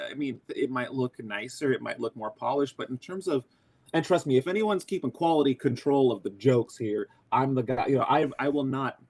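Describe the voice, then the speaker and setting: Excitable man joking crudely in an interview. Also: mid-range voice. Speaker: voice actor guest. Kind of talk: casual interview talk.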